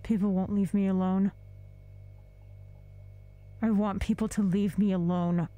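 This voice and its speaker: A woman's voice: mid-range voice, fairly even intonation, a little slow, warm tone, lightly acted. A woman playing a withdrawn, exhausted surveillance officer in an audio drama, speaking in terse, reluctant phrases. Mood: sullen, guarded. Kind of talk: audio drama monologue, spoken log.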